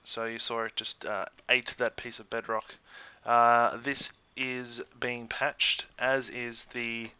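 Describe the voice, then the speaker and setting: An Australian man giving casual, chatty let's play commentary in an easygoing way, thinking out loud as he goes. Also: medium pitch, flat delivery, slow pace, few pauses, clear, resonant tone, dry recording. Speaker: gaming YouTuber.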